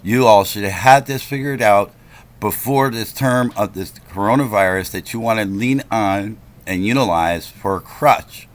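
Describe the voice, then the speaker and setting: A man's voice: fairly low pitch, moderate pace. A man making a complaint on a phone call, speaking in an insistent, confrontational, lecturing manner.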